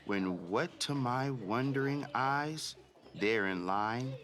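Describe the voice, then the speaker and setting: A man's voice: mid-range voice, lively intonation, takes it slowly, fairly bright tone. A man hosting a read-aloud for children, narrating expressively and speaking directly to his young listeners.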